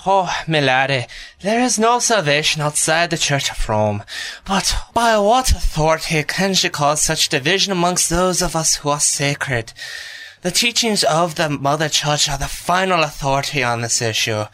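A pompous old-church Irish bishop holds forth in a comic audio drama. The voice is rather high and sharp, with a theatrical Irish accent. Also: male voice, lively intonation, normal speed. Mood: pompous, indignant.

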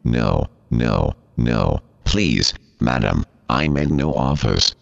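A synthetic computer voice playing a formal, easily flustered male interviewer, very deep and gravelly. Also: animated, takes it slowly, faint music behind, full character voice. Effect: computer text-to-speech voice. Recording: dull, muffled audio.

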